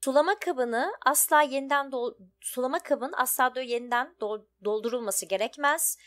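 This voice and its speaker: A woman's voice: high voice, normal speed, fairly bright tone. A woman giving casual, chatty let's play commentary, thinking aloud as she goes. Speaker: gaming video host.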